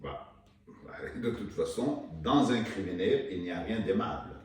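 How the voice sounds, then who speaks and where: A man from Rwanda, an author being interviewed, talking haltingly with many restarts and fillers. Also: fairly low pitch, animated. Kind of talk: hesitant interview talk. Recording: echoey room, mic far off, faint noise.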